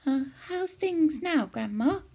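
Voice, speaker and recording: A woman's voice: high voice, expressive, slow pace, warm tone, pitched above the performer's own voice, heavily acted. A woman voicing an eager, cheeky young grandson in children's storybook dialogue, with chirpy, reassuring exclamations. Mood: amazed.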